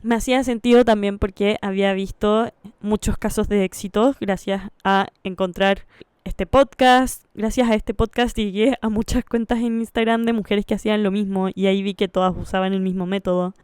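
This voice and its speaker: High, very clear Chilean woman's voice. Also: no room sound, studio quality. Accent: Chilean Spanish. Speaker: vlogger. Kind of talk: personal storytelling to camera.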